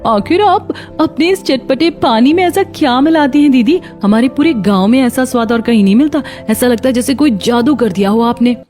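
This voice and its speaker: A woman voicing a delighted young college-girl customer in a cartoon story, excited and gushing. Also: fairly high pitch, moderate pace, few pauses, faint music behind, voice pushed up, lightly acted. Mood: delighted, curious.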